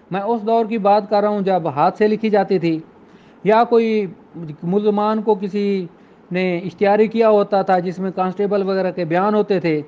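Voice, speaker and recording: High-voiced man lecturing, muffled recording. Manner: didactic, reads English phrases slowly and deliberately. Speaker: law lecturer. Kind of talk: explanatory law lecture with examples, code-switching into English.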